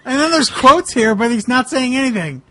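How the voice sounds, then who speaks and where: A man's voice: very high-pitched, lively intonation, moderate pace, bright, forceful tone, no echo. A man in casual, joking chat as a podcast co-host.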